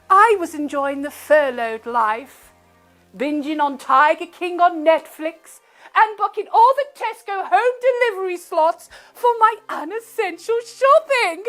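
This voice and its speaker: A wicked pantomime queen, vain and scheming. Her voice is very high and swoops around as she gloats with slow, haughty relish. She talks down to her audience in grand theatrical style. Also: a bit bright, full character voice. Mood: indignant. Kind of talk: pantomime villain monologue to audience.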